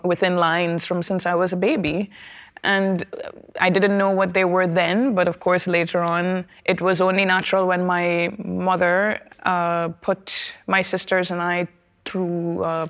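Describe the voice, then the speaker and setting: Trinidadian woman, low, thoughtful. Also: fairly bright tone. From Trinidad and Tobago. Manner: thoughtful, with many fillers and restarts. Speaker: opera singer, guest. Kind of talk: conversational interview.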